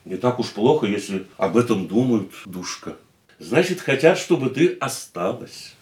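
An actor playing a gentle, devoted man in a radio drama, speaking in a soothing, tender way. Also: on the low side, animated, measured pace, reverberant.

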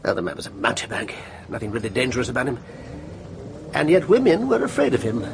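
An actor playing a brisk, blunt army major in a radio drama, with an offhand, clipped manner. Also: male voice, medium pitch, huge pitch swings, vocal fry. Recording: noisy vehicle ambience behind dialogue.

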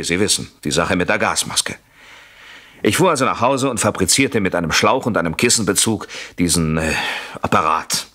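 An actor playing a scheming, jealous husband who explains himself insistently and articulately to a police inspector in a German radio play. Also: male voice, low-pitched, bright, forceful tone, full character voice.

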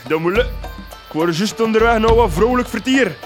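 Tipsy, high-voiced Flemish farmer. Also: male voice, lively intonation, a little fast, soft background music. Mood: drunken, leering. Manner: tipsy, rambling. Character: boozy, lecherous village farmer. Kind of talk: radio comedy drama acting.

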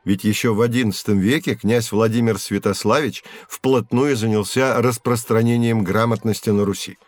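An actor playing a learned professor who explains history in a measured, didactic way in an educational audio drama. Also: male voice, fairly low pitch, fairly even intonation, lightly acted. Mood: patient, explanatory. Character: learned male professor guiding children through history.

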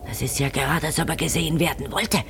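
Low-voiced suspicious older village woman. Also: steady tone, quick pace, gravelly, background noise. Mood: conspiratorial, suspicious. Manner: conspiratorial, muttering. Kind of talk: German radio play acting.

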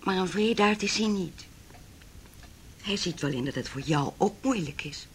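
A woman's voice: on the low side, expressive, moderate pace, a little hiss or hum, lightly acted. An actress playing a cold, wealthy middle-aged mother in a Dutch radio play, speaking in a formal, condescending, cutting way. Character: haughty, cold, wealthy mother opposing her son's marriage.

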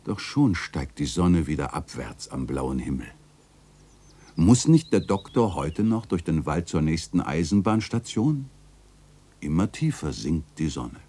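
An actor playing a reflective, nostalgic chronicler narrating a literary radio play in a measured, contemplative way. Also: male voice, rumbling low, very animated, dark tone, breathy edge, heavily acted. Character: reflective, nostalgic male chronicler of an old city lane.

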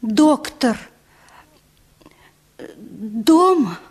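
A woman's voice: fairly high pitch, lively intonation, very slow, a little mellow, slightly rough, exaggerated voice. An actress playing a brash but anxious young woman down on her luck, musing theatrically to herself in a radio play. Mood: timid.

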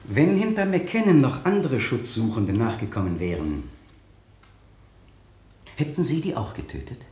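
An actor playing a relentless, sarcastic prosecutor in a German radio drama, questioning in a pointed, theatrical way. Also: male voice, medium pitch, big pitch swings, a little slow, darkish tone, roomy sound. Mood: probing. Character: relentless, sarcastic male prosecutor cross-examining the accused.

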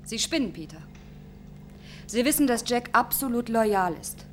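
A woman's voice: on the high side, expressive, unhurried, slight background noise. A woman playing the headstrong young daughter of a starship commander in a science-fiction radio drama, earnest and defiant. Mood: indignant. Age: young adult.

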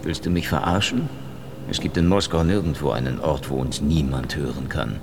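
Casting: jaded Soviet-era gumshoe for radio noir, deep creaky voice, laconic sarcasm. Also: male voice, normal speed, noisy background. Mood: mocking. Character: sardonic, world-weary Soviet-era private detective. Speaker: first-person narrator and lead character. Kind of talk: noir radio drama monologue and dialogue.

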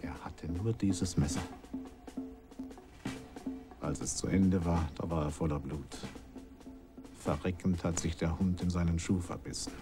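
An actor playing a sombre teller of a fugitive's ordeal in a radio drama, with terse, deliberate phrasing. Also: male voice, low voice, steady tone, music in the background. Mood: grim, weary. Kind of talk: dramatic narration in a radio play.

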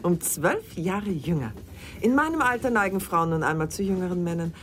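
Casting: actress for a jealous, proud middle-aged woman in radio drama, swooping intonation, pointed delivery, clearly noisy sound. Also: fairly low pitch, lively intonation, normal speed, natural voice. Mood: defensive, proud. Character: mature woman lover, probing, jealous, sharp-tongued, proud. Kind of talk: German radio drama acting, dialogue.

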